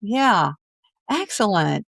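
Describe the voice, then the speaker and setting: A woman's voice: medium pitch, very animated, takes it slowly, gravelly, a bit bright, slightly rough, no echo. A middle-aged woman hosting a podcast, chatting casually with her guest in an effusive, encouraging way. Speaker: podcast host. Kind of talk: casual interview chat.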